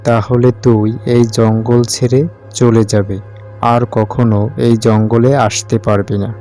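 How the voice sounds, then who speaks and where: Male actor as defiant young villager, cartoon dub, mellow and clean tone, fairly even pitch. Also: measured pace, faint music behind, lightly acted. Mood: confident. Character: brave, confident young village tea-seller challenging a monster. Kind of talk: cartoon story dubbing.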